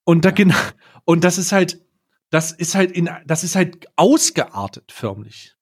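A man with a slightly high-pitched voice chats as a podcast co-host. He rambles through stories in a casual, mocking, comedic way. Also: very animated, unhurried, breathy edge.

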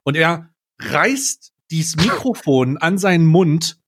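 A man with a slightly high-pitched voice chats as a podcast co-host. He rambles through stories in a casual, mocking, comedic way. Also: lively intonation, slow pace, a bit bright, slightly rough.

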